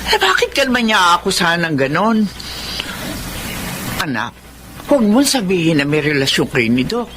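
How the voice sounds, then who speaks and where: A woman's voice: fairly low pitch, very animated, normal speed, pauses often. An actress with a Filipino accent playing a concerned, questioning mother in a radio drama. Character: concerned, probing mother of a grown daughter. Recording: noisy scene with ambient sound.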